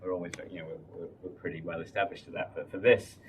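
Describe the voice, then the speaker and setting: Low, even-toned man presenting from off-mic. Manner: halting, repeats words as he explains. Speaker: industrial design lead, presenter. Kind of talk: informal technical product presentation. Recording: distant mic, faint room noise.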